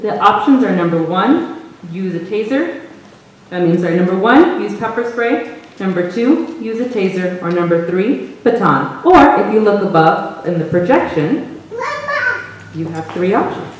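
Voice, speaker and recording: A woman's voice: mid-range voice, animated, a little slow. Woman, stage narrator and host, scripted delivery, very echoey, distant from mic. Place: theater hall, recorded from the audience. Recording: roomy, distant stage recording with faint noise.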